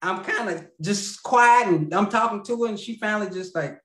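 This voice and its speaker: Male guest, 30s, rambling storyteller, lots of fillers, slightly high voice, echoey video-call audio. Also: moderate pace, a bit bright. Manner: rambling, laughs mid-sentence, many fillers and restarts. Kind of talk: casual storytelling. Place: home room.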